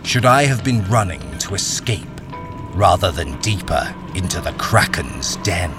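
A man's voice: sits low, lively intonation, a little slow. An actor playing a haunted miner, narrating his ordeal in a brooding, suspenseful radio-drama style. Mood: dread. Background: music.

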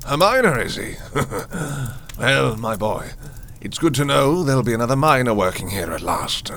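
A man's voice: medium pitch, big pitch swings, moderate pace, creaky, fairly bright tone, a little hiss or hum. An actor playing a genial, eager old man, a father obsessed with his mine, in a radio crime drama. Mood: pleased, eager. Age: older.

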